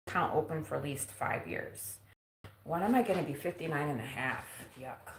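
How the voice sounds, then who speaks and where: A woman's voice: sits low, a bit bright, roomy sound, slight background noise, rough recording. A woman in her forties chatting casually on a live stream at home, teasing herself and laughing often. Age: 40s. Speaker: vlogger. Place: home kitchen.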